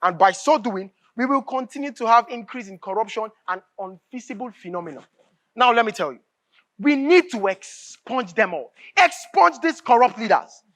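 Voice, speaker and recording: An impassioned Nigerian revolutionary idealist, preachy and impatient. The delivery is declamatory and emphatic, with pitch that swings widely and key phrases hammered home. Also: lively intonation, moderate pace, fairly bright tone. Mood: insistent, preachy. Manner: emphatic, oratorical, repeats phrases for force. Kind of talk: live stage drama acting, declamatory dialogue.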